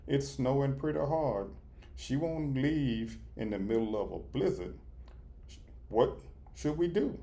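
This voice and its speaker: A man reading the part of a soft-hearted, hesitant front desk worker at an animal shelter in a storybook, earnest and tentative. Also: mid-range voice, expressive, slow, voice pushed up. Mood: doubtful.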